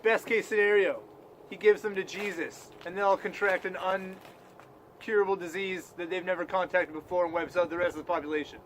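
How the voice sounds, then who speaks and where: A man's voice: sits high, fairly even intonation, brisk, slight background noise, low-quality recording. A man co-hosting a comedic news show in loose, profane banter with a mock-serious manner.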